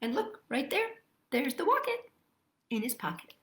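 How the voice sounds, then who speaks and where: A woman hosting children's storytime, reading picture books aloud and chatting to young listeners in a playful, expressive, sing-song manner. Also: sits high, normal speed, roomy sound.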